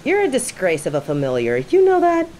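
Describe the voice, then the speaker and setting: A woman's voice: on the low side, wildly swooping pitch, a little fast, dark tone, reverberant, slight background noise. A woman playing a smug, bored sorceress turned journalist in an audio drama, with a sardonic, condescending way of talking. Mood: playful. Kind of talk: audio roleplay drama acting.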